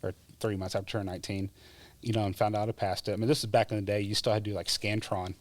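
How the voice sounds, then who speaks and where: A low-pitched man speaks in a relaxed, chatty way, full of fillers like "you know". The recording is dry and close, with no room sound. He is a podcast guest recalling his path into emergency medicine. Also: racing, no echo. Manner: relaxed, chatty, laughs often, many fillers. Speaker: guest, former EMT. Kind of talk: casual interview storytelling.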